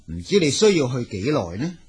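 A man's voice: medium pitch, very animated, brisk, breathy edge. A man with a Cantonese accent playing a kindly, idealistic tea grower in an audio drama, genial and persuasive. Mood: helpful.

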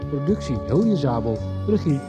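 A man's voice: mid-range voice, sing-song, normal speed. A man reading scripted closing credits as an announcer. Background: music.

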